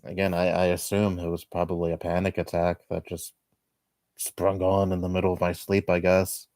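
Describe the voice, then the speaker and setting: A man in his twenties chatting casually on a livestream at home, rambling and laughing softly between thoughts. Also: low-pitched, monotone, normal speed, no echo, studio quality. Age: 20s. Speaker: livestreamer reading chat. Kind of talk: casual chat.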